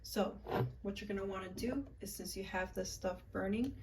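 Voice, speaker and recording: A young woman casually walking viewers through a love spell, chatty and halting, with frequent ums and likes. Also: mid-range voice, a little mellow, slight background noise. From United States, Puerto Rican and Peruvian family. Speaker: witchcraft vlogger.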